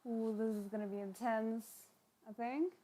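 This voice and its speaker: A woman's voice: a little high, fairly even intonation, normal speed, mellow, rough recording. A woman hosting a live reaction stream, chatting casually with viewers in an informal, encouraging and self-deprecating way. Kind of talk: casual livestream chat with viewers.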